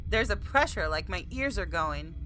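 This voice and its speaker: A woman doing a let's play, reading a visual novel aloud in an expressive way and exclaiming as she reacts. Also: fairly low pitch, normal speed, soft background music, poor audio. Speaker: gaming video creator.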